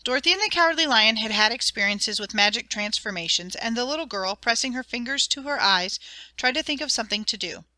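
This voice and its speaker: A woman reading a children's audiobook as narrator, in an expressive, dramatic and clearly enunciated storytelling style. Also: on the high side, a little fast, dry recording.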